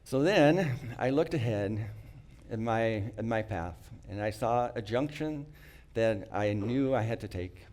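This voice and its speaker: A middle-aged man, not a native English speaker, telling a prepared personal story in an earnest, reflective way, with small restarts and frequent throat-clearing. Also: on the low side, expressive, measured pace, darkish tone.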